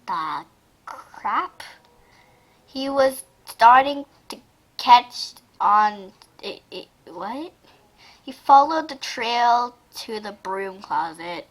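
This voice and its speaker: A woman with a high, very lively voice reads a story aloud in a casual way. She is giggly and exclamatory, often breaking off to throw in her own comments. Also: extremely slow. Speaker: amateur reader reacting to fan fiction. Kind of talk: casual reaction read-aloud with asides.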